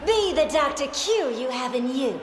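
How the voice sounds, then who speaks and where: A woman's voice: sits high, very animated, normal speed, echoey room, big-room sound, over music, crowd noise. A woman playing a manic, theatrical villainess in a video game, preaching like a showy motivational guru. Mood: theatrical, gleeful. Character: manic theatrical villainess selling self-help to crowds. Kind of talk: video game cutscene acting.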